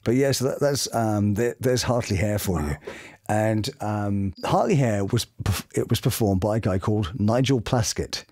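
A man's voice: lowish voice, normal speed. A middle-aged British man telling anecdotes expressively as a voice actor in a casual interview. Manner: expressive, dramatic storytelling. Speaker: voice actor guest. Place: small home studio, indoors.

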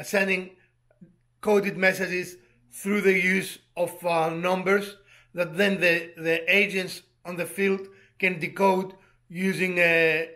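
Guy in his 50s doing a diy explainer, highish voice, kinda halting and repeats words. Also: bright, forceful tone. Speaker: presenter of a DIY hobby channel. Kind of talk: explanatory tutorial talk to camera.

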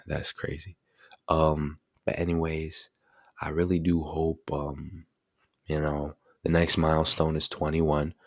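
An eighteen-year-old man chatting casually in a bedroom vlog, laid-back and slangy with lots of fillers, into a desk mic on a boom arm. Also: level tone, slow pace, dry recording, clean studio sound. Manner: laid-back slangy talk, many fillers like bro and you know. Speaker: vlogger. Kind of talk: casual birthday vlog chat. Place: small bedroom indoors.

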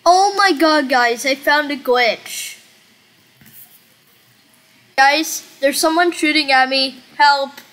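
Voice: high voice, slow pace, long pauses, bright, forceful tone. A young-sounding gamer chatting casually in a live roleplay game, directing playmates with short calls. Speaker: gamer leading a Roblox roleplay.

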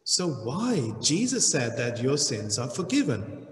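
Slow-preaching man in an echoey church hall. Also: mid-range voice, sing-song. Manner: slow, deliberate preaching with emphatic rises. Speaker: pastor. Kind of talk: sermon.

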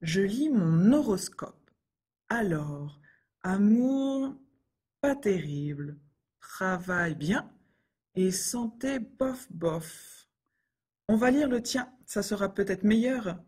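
A woman reading scripted everyday dialogues for a French lesson in a clear, careful way. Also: medium pitch, animated, slow pace, long pauses, darkish tone. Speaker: dialogue reader for French learners.

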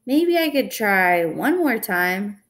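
A woman's voice: medium pitch, sing-song, slow. A woman voicing a discouraged young boy turtle from a storybook, plaintive and emphatic. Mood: reluctant. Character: young male turtle, discouraged, whiny, easily frustrated.

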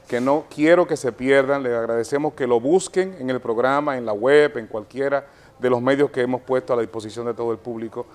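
Dominican man, 40s, business spokesman, measured soft talk, mid-pitched, lapel mic in studio. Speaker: chamber of commerce representative. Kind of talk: formal guest interview. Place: TV studio set.

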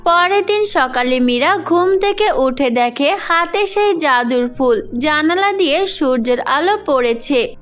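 A woman's voice: high voice, animated, unhurried, a bit bright, faint music behind. A woman narrating a scripted fairy tale for children as the storyteller.

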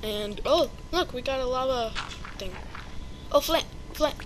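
A woman's voice: high voice, measured pace, noisy background. A woman giving casual let's-play commentary, chatty and hesitant with many ums and playful sound words. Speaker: video game player. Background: background music.

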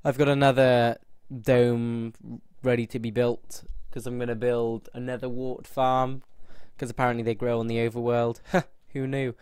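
A man's voice: medium pitch, level tone, normal speed, few pauses, a little mellow, smooth, dry recording, clean studio sound. A man with a stuffy, bunged-up nose, rambling casually through a gameplay tour with frequent restarts. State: stuffy nose from hay fever. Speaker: gameplay video host.

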